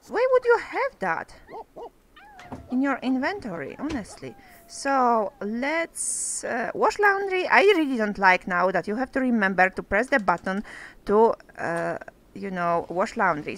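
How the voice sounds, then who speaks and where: Young woman, high and animated, casual game chatter, slightly sick voice, dry close mic. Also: no echo. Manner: chatty, non-native phrasing, talks to her characters. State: getting sick, voice a bit off. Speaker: let's play host. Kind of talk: casual gameplay commentary.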